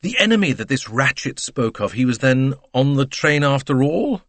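A man voicing an excitable middle-aged male train company director who pleads and exclaims in agitation in an audiobook. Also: medium pitch, moderate pace, no echo, voice pushed up. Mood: agitated.

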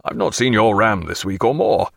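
A man's voice: lowish voice, normal speed, vocal fry, a bit bright, no echo, clean studio sound. A man voicing a genial, hearty naval captain with a bluff, courteous, old-fashioned way of talking, in an audiobook. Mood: genial, reminiscing.

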